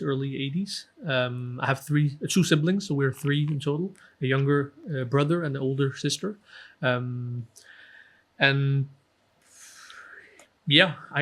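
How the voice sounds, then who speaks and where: A man in his thirties from Denmark, talking as a podcast guest about his childhood, relaxed and self-deprecating, with many ums and restarts. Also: medium pitch. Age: 30s. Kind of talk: casual interview talk.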